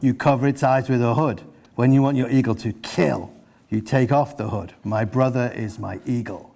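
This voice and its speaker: A man reading aloud as a stern, protective schoolboy who speaks in formal, measured, unyielding phrases. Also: medium pitch, steady tone, vocal fry, dark tone. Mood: solemn, quietly threatening. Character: stern, protective foreign schoolboy, defiant and solemn.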